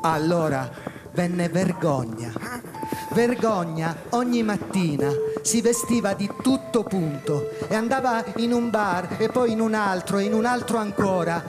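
A man's voice: high voice, expressive, a little slow. A man narrating a scripted piece in a theatrical storytelling style. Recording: loud music behind the voice.

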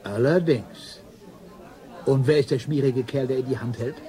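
An actor playing a pompous, quarrelsome know-it-all at the pub in a radio drama, mocking and theatrical. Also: male voice, mid-range voice, normal speed, dark tone, natural voice. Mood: indignant, mocking.

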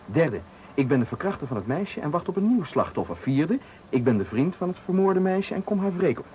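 An actor in a Dutch radio play playing a taunting, menacing stranger who speaks with deliberate, needling politeness in a Netherlands accent. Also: male voice, on the high side, big pitch swings, dark tone. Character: menacing, taunting male stranger cornering a man at night. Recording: faintly noisy 1980 radio recording.

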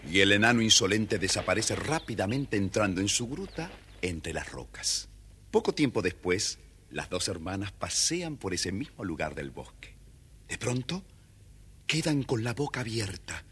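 Husky, animated Argentine male storyteller. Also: on the low side, normal speed. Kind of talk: dramatic children's story narration.

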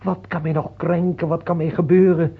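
Stern husky-voiced priest. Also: male voice, on the high side, flat delivery, normal speed. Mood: fervent. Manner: solemn, exhorting, preaching. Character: stern devout male priest counselling a dying king. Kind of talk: Dutch radio play acting. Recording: muffled, tinny old radio recording.

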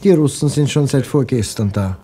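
An actor playing a grumbling, resentful coffeehouse patron. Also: male voice, medium pitch, normal speed, dark tone, breathy edge.

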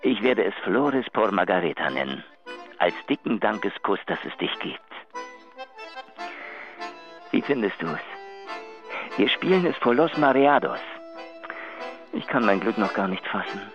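An actor playing an elated, tender musician in love, excitable and sometimes murmuring, in a radio drama phone message. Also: male voice, fairly low pitch, moderate pace. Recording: muffled lo-fi line, music behind.

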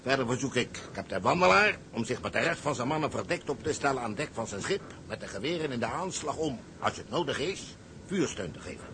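An actor playing a cocksure, scheming salvage captain in a Dutch radio play, with a confident, theatrical, commanding delivery. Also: male voice, medium pitch, lively intonation, brisk, pushed and bright, slight background noise.

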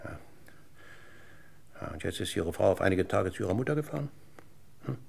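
An actor playing a courteous, measured, persistently probing police inspector in a 1960s German radio play. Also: male voice, deep voice, expressive, creaky, darkish tone, full character voice. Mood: courteous, pointed. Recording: noisy 1960s radio recording, clicks.

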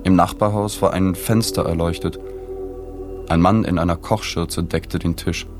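An actor playing a sober, observant journalist who narrates his story in a plain, measured way in a radio drama. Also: low-pitched, fairly even intonation, natural voice. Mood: observant, detached. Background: music.

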